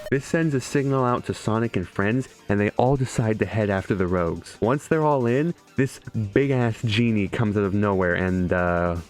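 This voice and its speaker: Man, gaming creator, lowish voice, irreverent narration, casual swearing, joking, faint music underneath. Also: normal speed, darkish tone. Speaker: gaming video creator and streamer. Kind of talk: scripted video narration mixed with casual stream banter. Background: music behind the voice.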